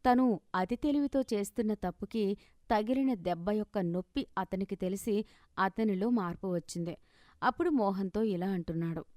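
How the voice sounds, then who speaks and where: A woman narrates a scripted moral story. Her voice is of medium pitch and recorded very dry, with no room around it. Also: moderate pace, dark tone, no room sound.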